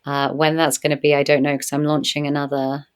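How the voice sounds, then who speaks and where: Deep-voiced woman, reflective interview guest. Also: fairly quick, clear, resonant tone. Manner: reflective, earnest, animated when telling stories. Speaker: podcast guest, medicine woman. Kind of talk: conversational interview.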